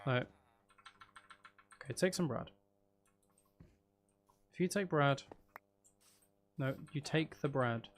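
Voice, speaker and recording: A man's voice: mid-range voice, slow pace, pauses often, a little hiss or hum. A man giving casual live gameplay commentary, chattily thinking aloud and muttering to himself with mild exasperation. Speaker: gaming streamer. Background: background music.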